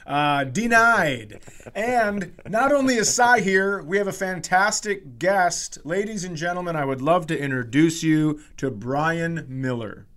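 A man's voice: on the high side, lively intonation, a little slow, fairly bright tone. A man hosting a podcast in loose, joking, sometimes profane banter. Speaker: podcast host.

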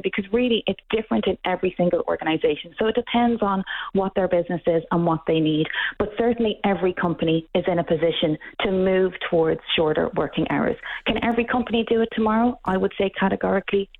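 An Irish woman answering interview questions earnestly and emphatically, often restarting her sentences. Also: fairly low pitch. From Ireland. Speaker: guest expert. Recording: tinny phone-like line.

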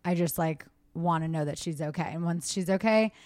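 A woman's voice: on the low side, brisk, darkish tone, dry recording. A pregnant woman hosting a podcast, chatting candidly as she answers fan questions. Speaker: podcast host. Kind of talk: casual chat.

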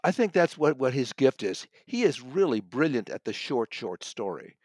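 A man's voice: medium pitch, big pitch swings, moderate pace, a little mellow, slightly rough, no room sound, studio quality. A man speaking as an audiobook reviewer in a conversational, thoughtful and emphatic way, often correcting himself as he goes. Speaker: audiobook reviewer and magazine contributor.

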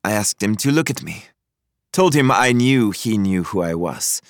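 A man performs a composed, forceful Lebanese woman in an audiobook thriller. The voice is lifted well up in pitch, with formal, deliberate phrasing and a close, dry sound. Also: expressive, no echo. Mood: proud, cool. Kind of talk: audiobook character voice.

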